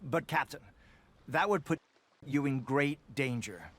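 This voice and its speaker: A man's voice: mid-range voice, expressive, measured pace. A man playing a cautious, loyal soldier in a video game, speaking in a formal, dutiful way. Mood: worried. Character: earnest, cautious male soldier loyal to his captain.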